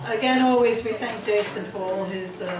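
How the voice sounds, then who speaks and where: A woman hosting a group meeting, thanking an organizer and introducing the next speaker in a measured way. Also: mid-range voice. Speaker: meeting host. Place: meeting hall. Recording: echoey hall from far off. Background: crowd noise.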